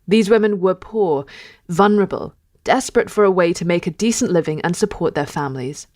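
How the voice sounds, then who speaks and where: A woman host reading an earnest, measured introduction and then asking her guest a question. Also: lowish voice, darkish tone, no room sound, studio quality. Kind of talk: scripted introduction and interview questions.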